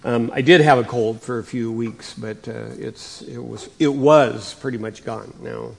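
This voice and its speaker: A male pastor preaching a sermon in a conversational way, his voice slightly raspy from coughing, rising and getting louder to stress points. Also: medium pitch, fairly even intonation, measured pace. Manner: conversational, with many fillers and restarts; rises and gets louder for emphasis.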